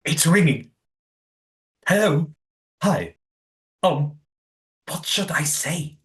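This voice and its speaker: Elderly hotel keeper, prim, naive, easily thrilled, lively swooping pitch, genteel old-fashioned phrasing, radio play. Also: very slow. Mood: giddy. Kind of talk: audio play acting.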